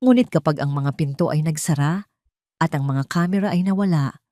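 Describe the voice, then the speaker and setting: A woman's voice: sits low, a little fast, dark tone, dry recording, clean studio sound. A woman reading a scripted dramatic story as its narrator.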